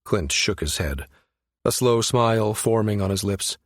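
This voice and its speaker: A man's voice: lowish voice, animated, unhurried, no room sound, clean studio sound. A man narrating fiction as an audiobook reader, in a scripted storytelling style.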